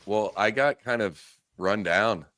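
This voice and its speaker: A man whose low voice is stuffy and a bit worn from illness. He talks in a relaxed, rambling way over a video call from home. The sound is close and dry. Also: slow pace, no echo. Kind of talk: casual group chat on a livestream. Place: at home after a flight.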